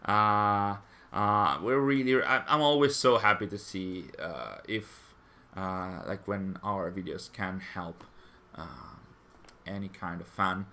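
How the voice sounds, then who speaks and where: Stream host, male, lowish voice with a bright, forceful edge, rambling and hesitant. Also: slow pace. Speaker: fan channel stream host. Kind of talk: live stream chat, reading viewer comments.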